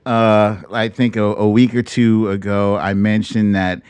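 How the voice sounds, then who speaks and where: A man's voice: lowish voice, flat delivery, measured pace, a little mellow, clear, resonant tone, no room sound. An American man in his fifties hosting a casual live online show, playful and jokey, drawing out words theatrically. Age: 50s. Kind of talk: casual livestream chat.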